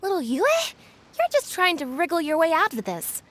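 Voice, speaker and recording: A woman's voice: extremely high pitch, big pitch swings, normal speed, a bit bright, heavily acted. A woman playing a spirited, bluntly chiding young female crewmate in a video game, frank and exclamatory. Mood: exasperated, chiding. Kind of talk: video game character dialogue.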